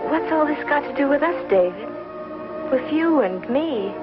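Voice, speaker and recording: Ladylike young pioneer wife, highish voice, old tv western, muffled sound. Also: animated, moderate pace. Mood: dismayed. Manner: refined, ladylike. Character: genteel young frontier wife and mother, sensitive. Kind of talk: 1950s TV western acting. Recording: muffled 1950s TV soundtrack, music behind.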